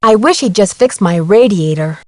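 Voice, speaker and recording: An actress playing a young female amateur detective in a video game, curious, sensible and gently wry. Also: mid-range voice, expressive, moderate pace, no room sound, close to an everyday voice. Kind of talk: scripted video game dialogue.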